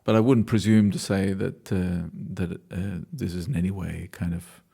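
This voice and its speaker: Male dancer-choreographer from Australia, low-pitched, reflective and hesitant delivery. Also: steady tone, normal speed, vocal fry, mellow, husky. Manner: thoughtful, hesitant, restarts sentences. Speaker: dancer and choreographer, class leader. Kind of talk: reflective interview answers.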